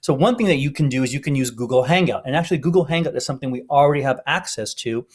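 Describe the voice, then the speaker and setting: A man's voice: medium pitch, lively intonation, very fast, a little mellow. A man giving an informal, step-by-step tutorial narration as an instructor. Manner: explanatory, step-by-step.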